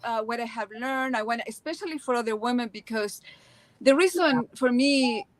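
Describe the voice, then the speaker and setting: Higher-voiced Chilean woman, emphatic and expressive. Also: normal speed, no echo, low-quality recording. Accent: Chilean Spanish. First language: Spanish. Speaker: interview guest, video channel creator. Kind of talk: casual live chat.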